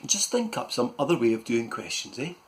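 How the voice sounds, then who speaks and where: A man voicing a patient mum who gently coaxes her brother. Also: medium pitch, sing-song, brisk, natural voice. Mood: coaxing.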